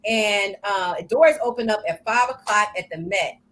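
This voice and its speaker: An older woman co-hosting a live talk show, chatty and lively, laughing often. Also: medium pitch, normal speed. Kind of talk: casual live show chat.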